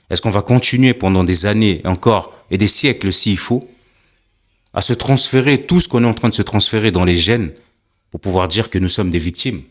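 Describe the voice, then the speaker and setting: Deep-voiced man giving impassioned commentary. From Africa. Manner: earnest, rhetorical, repeats questions for emphasis.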